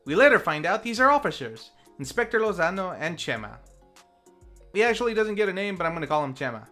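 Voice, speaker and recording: A review host narrating from a script, with theatrical flourishes and wry deadpan asides. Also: mid-range voice, quick pace, soft background music. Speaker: host of a film review series.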